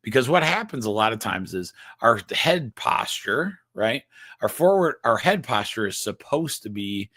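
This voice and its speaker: A man with a nasal voice, a chiropractor hosting a live-streamed health explainer, who talks haltingly with frequent restarts and keeps checking in with the audience. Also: mid-range voice, very animated, bright, forceful tone, no room sound, clean studio sound. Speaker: chiropractor host.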